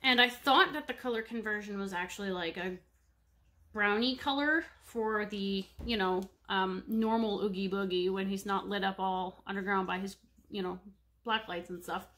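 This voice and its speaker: A woman's voice: medium pitch, normal speed, sharp tone. A woman in her thirties with a congested, stuffy nose, chatting casually to viewers from a home room, rambling with many fillers. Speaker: cross-stitch vlogger. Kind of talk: casual show-and-tell chat. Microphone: webcam at desk distance.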